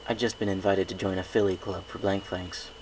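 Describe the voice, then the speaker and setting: A man's voice: deep voice, flat delivery, fairly quick, darkish tone, low-quality recording. A man reading a first-person story aloud as an amateur narrator, with faint noise behind him.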